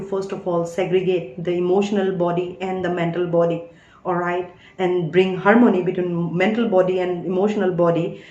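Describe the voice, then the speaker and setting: A woman's voice: on the low side, a little mellow, echoey room, lo-fi sound, slight background noise. A woman in her thirties who reads tarot, talking in an emphatic spiritual monologue and often checking in with "all right?". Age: 30s. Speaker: tarot reader.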